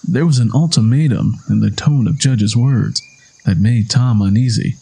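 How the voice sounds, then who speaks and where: A man's voice: mid-range voice, moderate pace, creaky, warm tone, husky. A man reading scripted fiction as the narrator of an audio story. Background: music.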